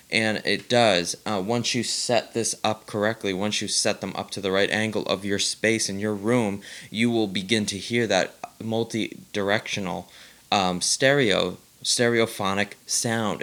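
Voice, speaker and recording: A man's voice: lowish voice, fairly bright tone. A man hosting a casual, unscripted review, talking enthusiastically and often repeating and rephrasing his points. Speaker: vlog host reviewing audio gear.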